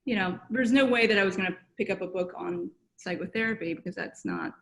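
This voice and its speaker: A woman psychotherapist from South Korea, answering interview questions thoughtfully over a video call, with many pauses and fillers. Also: medium pitch, a bit bright. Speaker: guest psychotherapist. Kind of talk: reflective personal interview answers.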